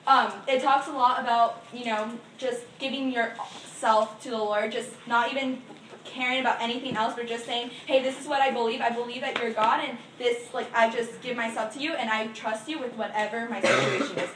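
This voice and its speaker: A woman's voice: fairly high pitch, fairly even intonation, fairly quick, fairly bright tone. A young woman casually addressing a group as its leader, informal and chatty, recorded from a distance in an echoey, noisy room. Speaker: youth group leader opening a Bible meeting.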